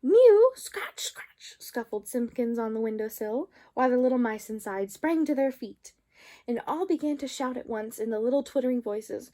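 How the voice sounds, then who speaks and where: A woman's voice: sits high, dark tone. A woman reading a children's storybook aloud expressively, drawing out some phrases.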